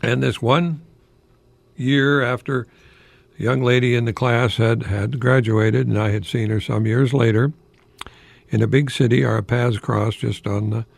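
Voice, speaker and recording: An older American man with a gravelly, fry-edged voice gives an informal radio talk. He sounds professorial yet chatty, putting questions to the listener. The sound is dry, with no room around the voice. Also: mid-range voice, fairly even intonation, unhurried, no echo. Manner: professorial, chatty, rhetorical questions, chuckling asides. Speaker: radio host, retired college professor.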